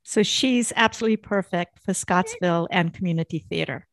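A woman's voice: lowish voice, fairly quick, dry recording. A woman hosting a podcast, asking questions in a casual, conversational interview style.

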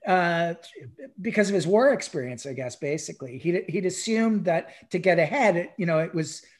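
Medium pitch, casual podcast discussion, stammering restarts, repeated words, frequent "right?" tags. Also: normal speed. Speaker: podcast speaker. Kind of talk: casual discussion of music history.

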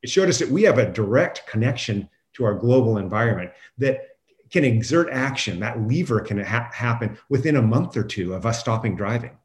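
A man's voice: mid-range voice, lively intonation, a little fast. A man speaking as an expert guest in an unscripted interview, explaining his points with emphasis. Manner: explanatory, emphatic, quotes imagined objectors. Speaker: guest professor and climate scientist. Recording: roomy, echoing sound.

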